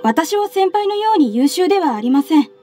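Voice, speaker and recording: An actress playing an earnest, respectful young female secretary in a Japanese anime game, speaking politely and with measured care. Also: extremely high pitch, big pitch swings. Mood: earnest, reflective. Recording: studio voice over game music. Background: soft background music.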